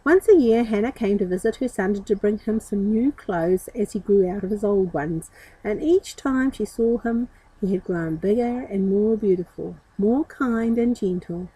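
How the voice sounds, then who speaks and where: A woman's voice: mid-range voice, normal speed, mellow, husky, no echo, poor audio. A woman storyteller reading a children's story expressively, with informal asides.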